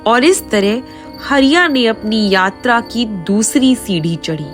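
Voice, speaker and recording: A woman narrates a moral folk tale in a dramatic, scripted style. Her voice is fairly high and very unhurried, with soft music underneath. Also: expressive. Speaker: story narrator. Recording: faint music behind the voice.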